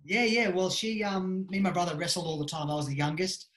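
Aussie guy on a webcam call, bit higher voice, echoey room, rambly and easygoing. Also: fast talker, a bit bright. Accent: Australian. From Australia. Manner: chatty, easygoing, many fillers. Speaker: guest, judo coach. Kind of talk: casual interview chat. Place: small room at home. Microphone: webcam video call.

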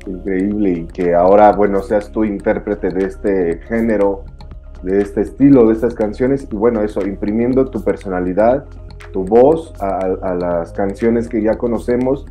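Mellow-voiced male interview host. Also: lowish voice, moderate pace, soft background music. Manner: effusive, long rambling questions with fillers. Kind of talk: casual interview questions. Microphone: video call.

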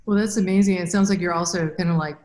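A woman in her fifties hosting a podcast over a video call, enthusiastic and halting, often restarting her sentences. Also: lowish voice, steady tone, a little mellow. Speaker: podcast host. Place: indoors, plain room. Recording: faint background noise.

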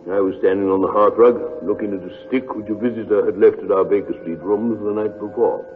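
An actor playing a genial, earnest, easily flustered gentleman sidekick with a British accent, hesitant and bumbling, in an old-time radio drama. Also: fairly low pitch, steady tone, brisk, natural voice. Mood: reminiscing. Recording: muffled vintage radio recording, music.

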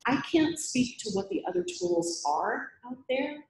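Woman speaker, animated and gravelly, roomy live recording. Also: high voice, measured pace, slight background noise. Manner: lively, chatty, self-deprecating humor. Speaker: conference speaker. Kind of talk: presentation talk with Q&A. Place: meetup room with audience. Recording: echoey room.

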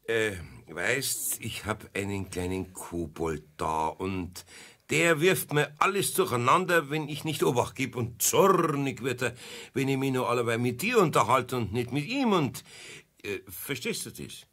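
An actor playing a kindly, patient older master carpenter with a Bavarian accent in a children's radio play, homely and a little halting. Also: male voice, medium pitch, big pitch swings, bright, forceful tone, exaggerated voice. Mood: evasive, embarrassed. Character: kindly, patient old master carpenter, flustered but gentle.

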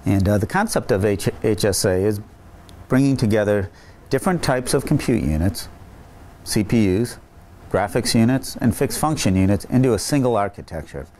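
Middle-aged man, lowish voice, earnest explainer, stumbling restarts, lapel mic, faint room hum. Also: animated, normal speed, a little mellow. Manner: earnest, frequent restarts and repeated words. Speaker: chip company executive. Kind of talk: explaining technical concepts to camera. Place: indoor meeting room.

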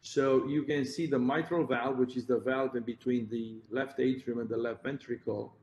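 A man's voice: mid-range voice, steady tone, moderate pace, darkish tone, muffled recording. Romanian-accented man presenting surgery slides. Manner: explanatory, self-correcting, points at slides. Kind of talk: conference presentation. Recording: roomy echo, faint background noise.

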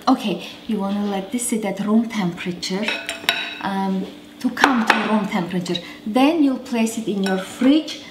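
A woman's voice: mid-range voice, background noise. A woman in her thirties hosting a home cooking show, chatting casually as she cooks in her kitchen. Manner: chatty, talks while cooking. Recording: roomy kitchen with faint clatter.

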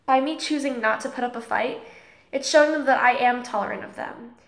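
A woman playing an earnest, principled student girl in a scripted drama, explaining things in a measured, preachy way. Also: a little high, creaky. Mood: calm, earnest. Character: earnest, tolerant, principled transgender girl student named Holly. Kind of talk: scripted audio drama dialogue, speech-like monologue.

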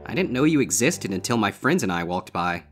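A man's voice: on the low side, big pitch swings, a little fast, faint music behind, pitched above the performer's own voice. A man voicing a brash, skeptical young male griffin with casual, exclamatory teenage speech in a storybook reading. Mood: skeptical, annoyed. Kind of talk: character voice in audiobook-style fan fiction reading.

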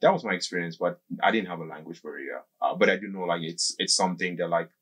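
Male guest, thirties, studio interview. Deep-set husky voice, casual and explanatory, halting with plenty of filler words. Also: fairly bright tone. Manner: halting, often says "like" and circles back. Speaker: podcast guest. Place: small indoor podcast studio. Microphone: desk microphone close up, with headphones.

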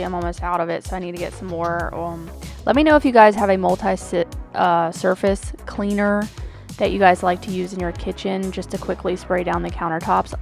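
A woman in her thirties giving a relaxed, chatty voice-over with many fillers. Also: on the low side. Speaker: homemaking channel host. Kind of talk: casual voice-over narration. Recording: voice-over with faint music underneath.

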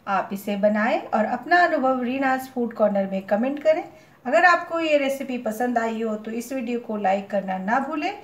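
A woman hosting her cooking channel, calmly talking through a recipe step by step and slipping English cooking words into Hindi. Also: a little high, moderate pace, roomy sound, dull audio.

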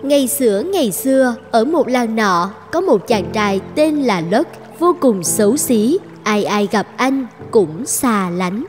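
A woman narrator reading a scripted fairy tale in a slow storytelling style. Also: on the high side, expressive, long reverb tail. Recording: music bed under narration.

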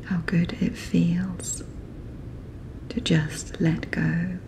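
A woman narrating a guided sleep meditation in a soft, breathy, whispery, soothing manner. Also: fairly low pitch, level tone, very slow, noisy background.

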